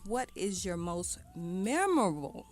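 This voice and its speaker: A woman's voice: lowish voice, very animated, takes it slowly. A woman hosting a casual radio interview, putting questions to a guest in an affirming, conversational way. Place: radio studio. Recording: music playing behind. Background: music.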